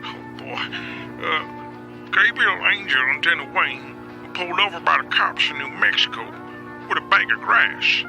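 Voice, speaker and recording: Male actor playing a jittery newspaperman, high gravelly voice, far off, music under it. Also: lively intonation, normal speed. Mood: worried. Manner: hesitant, breaks bad news. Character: male newspaper beat reporter, nervous and urgent.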